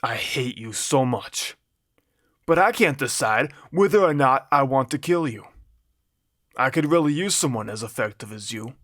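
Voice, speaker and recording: A man's voice: medium pitch, lively intonation, normal speed, a bit bright, no room sound, clean studio sound. Man putting on a menacing New York Italian-American gangster. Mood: seething, torn. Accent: put-on New York Italian-American gangster. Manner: ranting, threatening, grudgingly admiring. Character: menacing, exasperated male gangster boss with tattoos.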